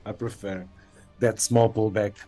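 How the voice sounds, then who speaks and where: A man's voice: fairly low pitch, fairly even intonation, unhurried, warm tone, faint music behind. A man from Portugal, a guest trader and chef, chatting casually and searching for words. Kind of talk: casual chat.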